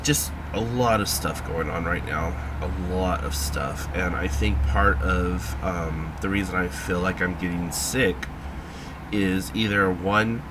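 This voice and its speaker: A man vlogging in a casual, rambling way, halting with lots of ums and sounding a little congested. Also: low voice, noisy background. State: congested, feeling sick.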